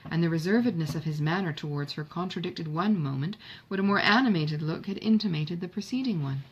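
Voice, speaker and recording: Low, husky-voiced woman narrating a novel. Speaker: audiobook narrator. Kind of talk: scripted literary narration.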